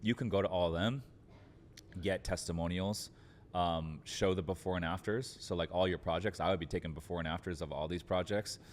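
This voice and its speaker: A man's voice: low voice, steady tone, brisk, slight background noise. A man giving conversational business advice as an entrepreneur guest on a podcast, informal and sprinkled with filler words. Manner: informal, frequent fillers like "like" and "literally".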